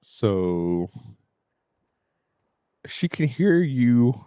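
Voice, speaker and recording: A woman's voice: rumbling low, sing-song, extremely slow, dark tone, clean tone, no room sound. A woman livestreamer chatting casually over a video game on a close mic. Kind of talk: casual game stream commentary.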